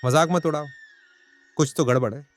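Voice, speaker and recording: A man's voice: fairly high pitch, moderate pace, soft background music, natural voice. A man playing a proud, bossy middle-aged village farmer in a dramatized moral story, scolding and exclaiming in colloquial talk. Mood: stern.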